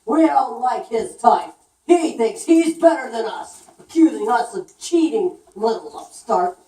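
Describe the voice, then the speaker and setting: A woman's voice: a little high, expressive, a little slow, mellow, pitched above the performer's own voice. A woman voicing a scornful, bullying male rat stallholder in a puppet story, sneering and indignant. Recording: echoey room, distant mic.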